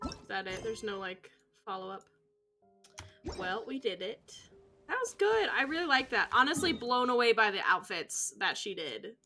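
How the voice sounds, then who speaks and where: A woman's voice: fairly high pitch, big pitch swings, normal speed. A woman streaming a video game, giving casual, rambling commentary in an informal, musing way. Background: music.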